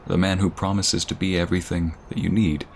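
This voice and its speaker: A man's voice: sits low, little pitch movement, brisk, slight background noise. A man playing a devoted, sentimental boyfriend in a romantic ASMR roleplay, speaking intimately and earnestly. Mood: earnest, pleading. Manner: intimate, earnest, often hushed and breathy.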